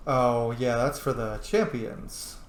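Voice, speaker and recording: A man's voice: mid-range voice, slow. A man giving casual let's-play commentary on a video game, informal, exclamatory and given to swearing. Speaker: video game streamer, host. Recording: roomy, echoing room sound.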